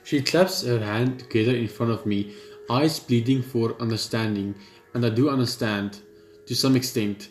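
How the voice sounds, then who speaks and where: A man's voice: mid-range voice, normal speed, a little mellow. Echoey male gamer reading aloud. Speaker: gaming video host. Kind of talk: let's play, reading game text aloud with asides. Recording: echoey room, game music behind.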